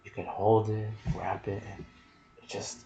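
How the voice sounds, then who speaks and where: A soft-spoken man hosting an ASMR video about game lore, with a hushed delivery that slips into whispers. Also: on the low side, level tone, measured pace, vocal fry. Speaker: video host and gaming content creator.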